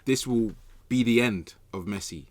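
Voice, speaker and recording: A man's voice: fairly low pitch, normal speed. A man, arguing his point in casual, colloquial podcast chat and checking that he is followed. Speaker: football podcast panelist.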